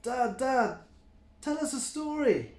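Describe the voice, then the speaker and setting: A man voicing excited little billy goat boys from a storybook, eagerly pestering with questions in a roomy recording. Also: very high voice, slow pace, voice pushed up, exaggerated voice. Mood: excited, curious. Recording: roomy, echoing sound.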